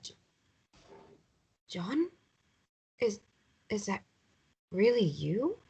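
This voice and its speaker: Husky, hesitant lonely woman, played by an actress. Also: mid-range voice, sing-song, drawn-out words, warm tone, full character voice. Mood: sleepy, confused. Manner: hesitant, subdued. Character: sad, lonely mother, quietly in love with a widower. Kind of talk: drama script table read.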